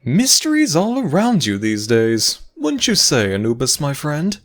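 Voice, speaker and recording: A man's voice: on the high side, wildly swooping pitch, a little slow, faint music behind. Man playing a smug god, wildly swooping voice. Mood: smooth, teasing. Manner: formal, sly, theatrical. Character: Osiris, smug, courtly male god. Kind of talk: ASMR audio roleplay acting.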